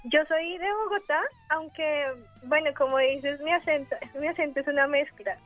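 A woman's voice: high voice, moderate pace, soft background music. A woman from Colombia with a Colombian accent, calling in to a radio show and telling a story in a friendly, giggly way. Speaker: caller. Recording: tinny phone line.

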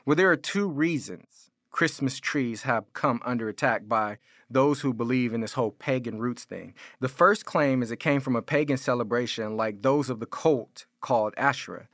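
A man's voice: medium pitch, normal speed, fairly bright tone, dry recording, studio quality. An American man preaching as a pastor, explaining and arguing his points in an informal, conversational way. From California. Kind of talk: sermon, explanatory apologetics teaching.

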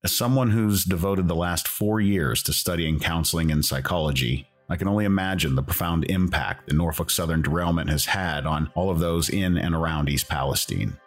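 A man hosting and narrating a documentary podcast in a measured, earnest, scripted delivery. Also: low-pitched, faint music behind.

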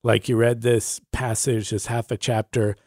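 A man's voice: mid-range voice, flat delivery, normal speed, a little mellow, slightly rough, dry recording. Casual male podcast co-host, dry sound. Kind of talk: casual conversational podcast talk.

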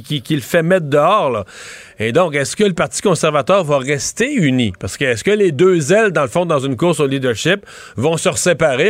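Animated middle-aged Québécois commentator. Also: male voice, on the high side, no room sound. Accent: Québécois French. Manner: rambling, animated, restarts mid-sentence. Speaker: political commentator. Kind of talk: casual political analysis chat.

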